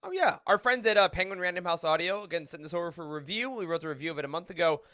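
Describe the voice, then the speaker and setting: A man co-hosting a podcast in casual chat, with an animated, jokey, emphatic way of talking. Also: sits high, rapid-fire. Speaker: podcast co-host.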